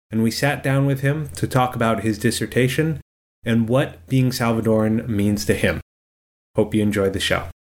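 A man's voice: medium pitch, a little mellow. A man hosting a podcast, introducing his guest and asking questions in a friendly, plain way. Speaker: podcast host. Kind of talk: podcast interviewing.

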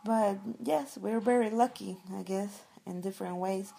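A woman's voice: medium pitch, moderate pace, gravelly, warm tone, rough recording. A woman from El Salvador, a guest speaking reflectively and searching thoughtfully for her words. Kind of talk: reflective conversation.

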